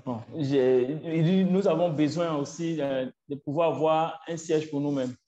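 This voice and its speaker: A man answering in a webinar interview, halting and repeating himself as he searches for words. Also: fairly high pitch, warm tone. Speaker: association leader guest. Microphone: video call. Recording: echoey, muffled online call audio.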